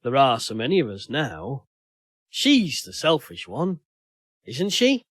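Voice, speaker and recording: A man's voice: mid-range voice, wildly swooping pitch, slow pace, a bit bright, dry recording, studio quality, heavily acted. A man voicing an anxious, wounded man in a troubled romance from an audio story, uneasy and defensive.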